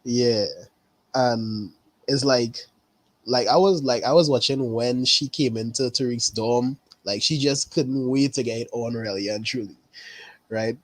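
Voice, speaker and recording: A man from Trinidad with a Trinidadian accent, chatting casually about a TV episode, unhurried and repeating his phrases. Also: mid-range voice, dry recording. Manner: unhurried, repeats phrases, says "really and truly". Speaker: caller.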